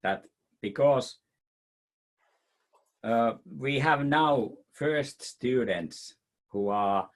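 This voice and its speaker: A man's voice: medium pitch, extremely slow, pauses often. A man from Finland with a Finnish accent, answering audience questions in a webinar with frequent fillers and restarts. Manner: hesitant, many fillers and restarts.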